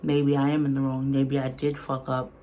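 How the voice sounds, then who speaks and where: A woman's voice: a bass voice, completely flat delivery, moderate pace, dark tone. A woman giving casual, unscripted reaction commentary in a blunt, colloquial, earnest way. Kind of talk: casual, unscripted opinion talk.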